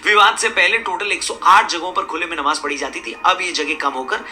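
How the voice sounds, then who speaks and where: A man's voice: fairly high pitch, fairly quick, pushed and bright, soft background music. A man presenting a scripted news explainer in an emphatic, argumentative way. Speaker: video presenter.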